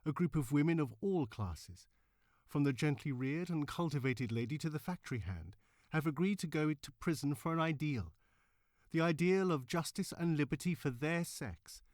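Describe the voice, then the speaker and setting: A man's voice: on the high side, darkish tone, dry recording, studio quality, lightly acted. A man reading as an impassioned suffragist orator. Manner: formal, emphatic, measured oratory. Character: impassioned, cultivated suffragist woman orator of the 1900s. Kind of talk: dramatic reading of historical speech.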